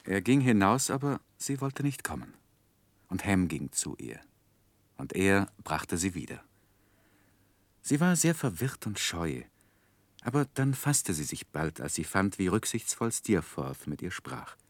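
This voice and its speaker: Narrator role: thoughtful gentleman memoirist, deep voice, animated intonation, measured literary delivery. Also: no room sound, heavily acted. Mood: reflective. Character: reflective gentleman narrator recalling his youth. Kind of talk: scripted narration in 1960s German radio play.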